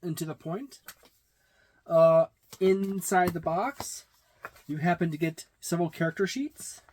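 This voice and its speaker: A middle-aged man giving a casual, halting, matter-of-fact unboxing and review, recorded in a small room. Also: a little high, darkish tone, slight background noise. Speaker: tabletop game reviewer. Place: small indoor room with packed shelves.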